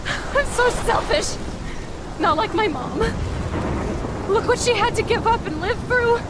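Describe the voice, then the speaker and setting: A woman's voice: very high-pitched, lively intonation, a little slow. An actress playing a tough, loving young punk woman with an American accent, blunt and earnest in an emotional video game drama. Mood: pained, resolute. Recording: loud storm, rain and thunder behind. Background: rain, thunder, wind.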